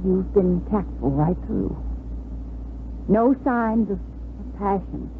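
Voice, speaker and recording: An actress playing an earnest, conflicted young bride-to-be in 1950s radio drama style, speaking hesitantly and dramatically. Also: female voice, mid-range voice, expressive, slow pace. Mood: wistful, confessing. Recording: tinny, noisy old radio broadcast.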